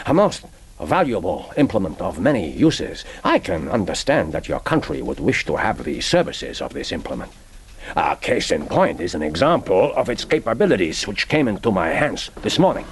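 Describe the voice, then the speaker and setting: An actor playing a courteous, grave middle-aged Japanese intelligence chief with a formal, measured manner in a radio play. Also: male voice, low voice, very animated, slight background noise. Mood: courteous, guarded. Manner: formal, measured, philosophical, prompting with "huh?". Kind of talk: radio drama acting.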